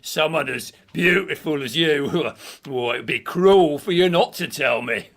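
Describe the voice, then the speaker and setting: A man's voice: fairly high pitch, unhurried, a bit bright. Curious, charmed male listener. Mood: charmed, flirtatious. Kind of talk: radio drama dialogue.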